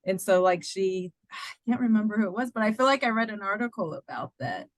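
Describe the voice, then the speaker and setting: A woman's voice: medium pitch, expressive, fairly quick, no room sound. A woman hosting a casual interview, agreeable and chatty, often laughing between her thoughts. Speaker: podcast host.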